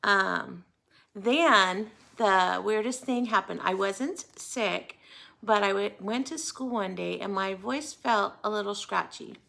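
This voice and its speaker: A woman's voice: mid-range voice, slow, vocal fry, sharp tone. An American woman chatting casually to viewers as host of a hobby vlog, in a friendly, talkative manner. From United States. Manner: chatty, friendly greetings and asides. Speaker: host of a cross-stitch video channel.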